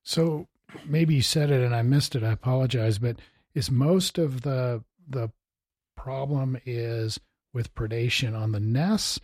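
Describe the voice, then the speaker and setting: A man's voice: mid-range voice, measured pace, a little mellow, no room sound, clean studio sound. An American man hosting a casual interview, with an easygoing, folksy way of asking questions. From Missouri, United States. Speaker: interviewer, host.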